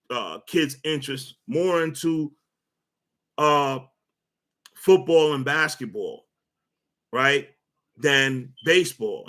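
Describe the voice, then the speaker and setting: A man's voice: medium pitch, a bit bright. A man delivers a loose, rambling sports rant, stating his opinions bluntly. He trips over his words, repeats himself and fills the gaps with "uh" and "you know" as he works toward his point. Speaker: sports commentary host.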